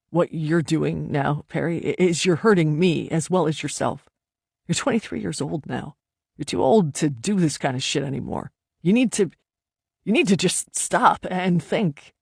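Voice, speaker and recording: Reader putting on an angry young guy, low and clipped, scolding, dry close sound. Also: vocal fry, husky, no room sound. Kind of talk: audiobook character voice.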